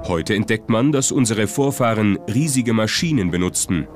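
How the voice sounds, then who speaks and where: Low-voiced documentary narrator, music behind. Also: male voice, animated, normal speed.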